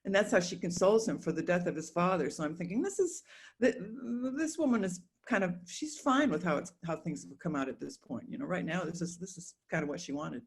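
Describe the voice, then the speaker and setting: A mature woman talking haltingly and informally in a casual discussion over a video call. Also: fairly low pitch, sing-song. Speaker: volunteer reader, discussion participant.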